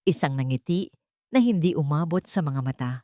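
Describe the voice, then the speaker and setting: A woman narrating a scripted audio drama in a measured, earnest, dramatic storytelling manner. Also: low-pitched, lively intonation, warm tone, dry recording, clean studio sound.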